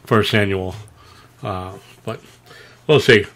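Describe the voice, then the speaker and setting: A man's voice: on the low side, slow pace, a bit bright. A man co-hosting a podcast in casual, rambling, informal conversation. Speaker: podcast co-host.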